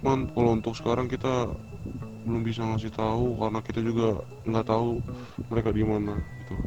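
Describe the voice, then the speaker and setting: A man's voice: lowish voice, level tone, brisk, lightly acted. A man roleplaying a cooperative street gang leader in an online game, talking informally and a little hesitantly. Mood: cooperative, casual. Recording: muffled game voice chat with music.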